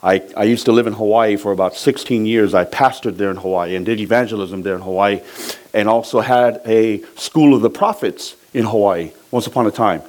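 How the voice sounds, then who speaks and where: A man's voice: lowish voice, brisk. A middle-aged man from Samoa, a pastor preaching a sermon, asking his congregation to finish his sentences. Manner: lively preaching, asks the congregation to fill in words.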